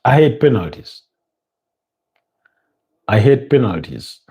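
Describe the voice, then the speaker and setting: A man's voice: medium pitch, slow pace, dull audio. A man from Uganda with a Ugandan English accent, chatting casually and commenting on a match live as host, with frequent ums and uhs. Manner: relaxed, conversational, many ums and uhs. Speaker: watch-along host.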